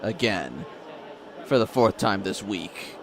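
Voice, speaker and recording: A man's voice: fairly low pitch, lively intonation, takes it slowly, crowd noise. A man reading scripted story narration for an audio drama as its narrator.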